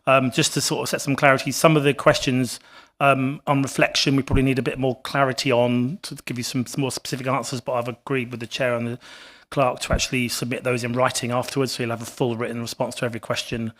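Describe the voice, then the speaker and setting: Man, hoarse and gruff, level monotone delivery, halting, repeats words. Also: mid-range voice. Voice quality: rough, gruff voice. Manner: halting, repeats words while answering questions. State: hoarse with a cough. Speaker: water company representative. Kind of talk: council committee presentation. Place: council committee meeting room.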